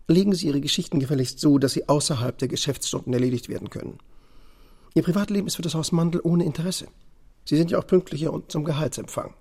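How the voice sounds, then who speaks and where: Cold bossy store manager, highish but dark voice, pedantic, radio play. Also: male voice, fast. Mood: cold threatening. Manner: clipped, condescending, pedantic. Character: stern, officious department store boss, cold and threatening. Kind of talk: German radio drama acting.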